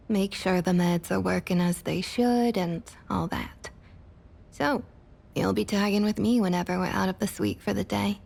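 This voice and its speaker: A woman playing a domineering, patronizing vampire councilwoman with a Southern US accent and a husky, breathy voice, in an ASMR roleplay. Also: mid-range voice, darkish tone. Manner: condescending, drawling pet names, slow and deliberate.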